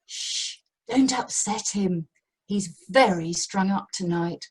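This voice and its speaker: An amateur actress playing a put-upon, placating middle-aged British wife in a radio play. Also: female voice, medium pitch, slow, husky. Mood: weary, confiding. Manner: harried, conciliatory.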